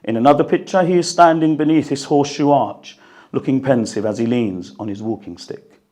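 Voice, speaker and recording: A man with a croaky, strained voice speaks to an audience at a book launch, then reads an excerpt, earnest and reflective, with the odd cough. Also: medium pitch, brisk, dark tone. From United Kingdom. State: croaky voice, recovering from losing it. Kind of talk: talk and reading from his book. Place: hall at a gathering, in front of an audience. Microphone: handheld microphone.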